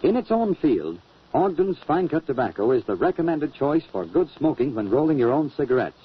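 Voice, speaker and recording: A man announcing an old-time radio show, delivering a scripted introduction and sponsor pitch on a muffled old recording. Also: sing-song, fairly quick, slightly rough.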